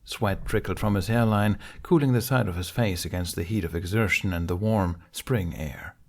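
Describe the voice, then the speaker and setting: A man's voice: deep voice, moderate pace. A man reading a fantasy adventure story aloud as a scripted audiobook narration.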